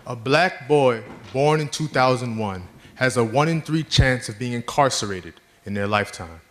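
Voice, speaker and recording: A man's voice: medium pitch, expressive, normal speed. A man, a leadership trainer, giving an earnest prepared address that reads out statistics and lists. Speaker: youth leadership trainer and presenter.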